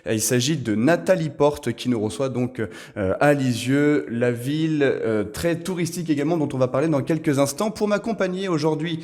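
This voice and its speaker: A man's voice: on the high side, moderate pace, a little mellow. Male podcast host, wordy and courteous. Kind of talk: formal podcast interview hosting.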